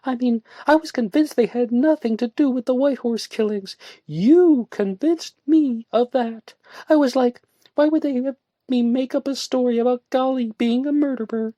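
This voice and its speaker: A woman reading a story aloud and voicing a distraught, volatile young woman who pleads and lashes out in shrill outbursts. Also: moderate pace, mellow, no echo, close to an everyday voice. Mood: upset.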